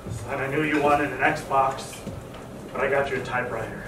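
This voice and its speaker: A man in his thirties playing a pushy, excitable father in a live improv scene, recorded from far off on a roomy club stage. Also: medium pitch, normal speed, noisy background, lightly acted. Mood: insistent. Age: 30s. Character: pushy father with a boyish high voice. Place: small comedy club stage. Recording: roomy stage audio from far, loud audience.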